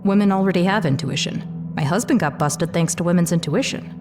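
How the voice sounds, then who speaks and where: Woman playing a lowish-voiced, direct questioner. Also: brisk, music in the background, close to an everyday voice. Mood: curious. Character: curious, fair-minded woman questioner among officials. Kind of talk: audio drama acting.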